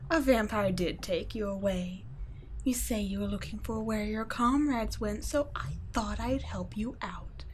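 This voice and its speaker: A woman's voice: on the high side, slight background noise. A woman voicing a cool, condescending female vampire scientist in an ASMR audio roleplay, speaking in a teasing, patronizing way and slipping into whispers. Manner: teasing, patronizing, soft-spoken with whispered asides.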